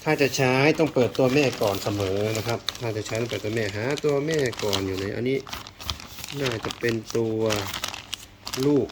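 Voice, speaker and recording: A man's voice: medium pitch, moderate pace. A man giving casual hands-on unboxing commentary, thinking aloud as he explores, with polite phrasing. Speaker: unboxing video host. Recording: clear background noise, rustling and clicking.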